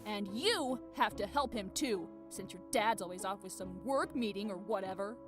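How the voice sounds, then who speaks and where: A woman's voice: medium pitch, expressive, moderate pace, faint music behind, natural voice. An actress playing a stern, middle-aged mother scolding her teenage son in a visual novel game, commanding and emphatic. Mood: exasperated.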